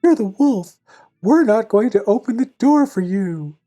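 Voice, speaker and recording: A woman's voice: expressive, measured pace, warm tone, soft background music. A woman voicing wary young goat kids in a storybook, firmly scolding and refusing. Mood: wary, defiant. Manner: high, firm, scolding refusal.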